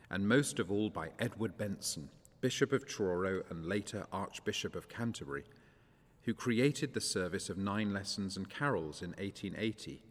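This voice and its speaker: Guy presenting, really low voice, reading from a script, big echoey hall sound. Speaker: presenter of a carol service. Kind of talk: scripted introduction, narration. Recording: long church-like reverb.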